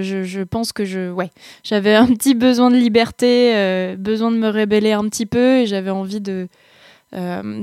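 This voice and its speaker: A young woman talks through her musical path in a hesitant, searching way, full of pauses and restarts. Her voice sits at an average pitch. The sound is dry and close, with no room around it. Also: darkish tone, no echo. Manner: hesitant, many fillers and restarts. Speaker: singer-songwriter guest. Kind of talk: casual interview answers.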